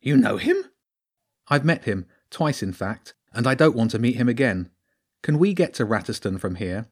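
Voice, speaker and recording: A man's voice: mid-range voice, expressive, normal speed, close to an everyday voice. A man voicing a brave, determined little girl in a storybook, with a firm, earnest and urgent manner. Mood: questioning.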